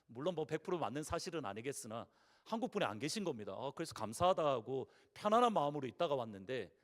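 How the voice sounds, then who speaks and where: A man with a Gyeongsang (Busan) accent preaching a Sunday sermon as pastor. His voice is hoarse, and he tells stories earnestly and asks the congregation rhetorical questions. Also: medium pitch, very animated, fast, fairly bright tone. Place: large church sanctuary. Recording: long reverb of a church hall.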